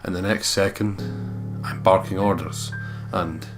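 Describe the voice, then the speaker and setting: A man reading a horror visual novel aloud in a dramatic first-person voice, with loud game music behind him. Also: sits low. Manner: hushed, deliberate reading that turns loud and excitable in chat.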